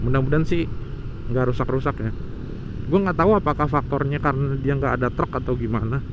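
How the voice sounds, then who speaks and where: Motovlogger man, loud road noise. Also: medium pitch, a little fast, highs cut off. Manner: informal, rambling, addresses viewers as friends. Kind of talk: casual chat while riding a motorbike. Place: outdoors on a motorbike in city traffic. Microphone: helmet intercom mic wired to action camera. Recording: loud road and engine noise, helmet intercom.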